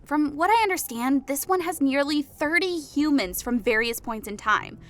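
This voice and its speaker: A woman's voice: high-pitched, lively intonation, fairly bright tone. A woman voicing an impatient, snappish female officer giving reports in an audiobook. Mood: brisk. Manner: brisk, snappish. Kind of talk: audiobook dialogue reading.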